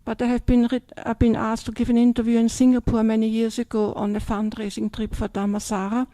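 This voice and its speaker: A woman's voice: on the high side, level tone, fairly quick, mellow, dry recording. An older woman, a Buddhist nun from Austria with an Austrian German accent, giving a chatty dharma talk that keeps checking in with "yeah?" and "yes?".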